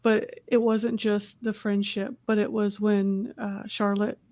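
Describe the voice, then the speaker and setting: A woman's voice: mid-range voice, flat delivery, measured pace, warm tone, dry recording. A woman author on a panel, earnestly reflecting on a book that shaped her childhood. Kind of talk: panel discussion answer.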